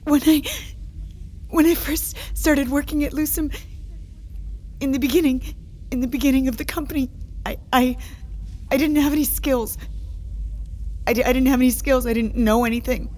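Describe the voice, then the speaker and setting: An actress playing a wounded, guarded woman in an audio drama, speaking haltingly and hesitantly with small stammers. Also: high-pitched, fairly even intonation, a little mellow. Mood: tearful confessing. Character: guarded, anxious, wounded woman resisting confession. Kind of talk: naturalistic audio drama acting.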